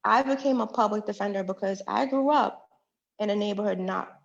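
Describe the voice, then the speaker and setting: An earnest woman lays out her case in a radio interview, stacking her points in repeated phrases. Her mid-pitched voice carries a gravelly fry, and the recording sounds dull and lo-fi. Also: brisk. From Jamaica, Queens, New York. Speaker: judicial candidate and public defender.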